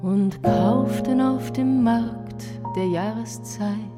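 A woman's voice: fairly high pitch, slow pace. A woman singing a poem set to music, in a chanson-like song with instrumental accompaniment. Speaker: singer.

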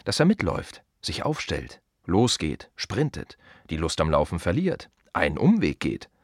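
A man's voice: sits low, wildly swooping pitch, moderate pace, no room sound, clean studio sound, full character voice. A man playing a world-weary, wry gravedigger in a radio play monologue, talking conversationally in short, emphatic, rhythmic repeated phrases. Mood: musing, wry. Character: world-weary, wry male gravedigger musing on death and the city.

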